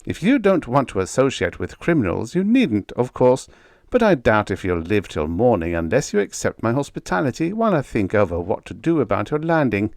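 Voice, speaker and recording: A man's voice: medium pitch, sing-song, fairly quick, a little mellow, dry recording, pitched above the performer's own voice. A man voicing a self-possessed outlaw settler in an audiobook, speaking with dry politeness and an air of command. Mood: wry, polite. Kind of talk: audiobook character dialogue.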